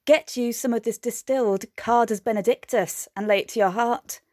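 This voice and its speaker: An actress playing a saucy young maid with a quick, teasing wit in a Shakespeare radio play. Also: female voice, fairly high pitch, darkish tone, dry recording, studio quality, lightly acted. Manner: playful, bantering.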